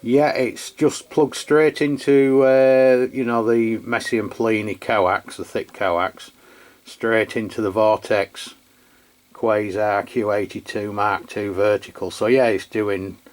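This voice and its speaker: A man chats casually in a low voice. He talks like a hobby CB operator in an easy two-way exchange. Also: fairly even intonation, slow. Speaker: radio review channel host, CB operator. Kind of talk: casual two-way radio chat.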